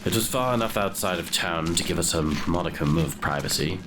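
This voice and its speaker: A man playing a haunted, guilt-ridden young grave robber who narrates his ruin in a brooding, deliberate way for an audio drama, with noise behind him. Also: sits low, lightly acted. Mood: grim, reflective. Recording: clear background noise under the voice.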